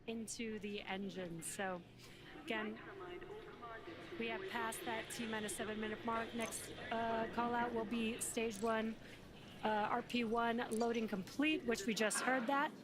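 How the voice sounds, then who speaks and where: A woman's voice: fairly high pitch, steady tone, a little slow. A woman co-commentating on a live broadcast, explaining technical steps in a conversational way. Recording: music playing behind voice. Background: music.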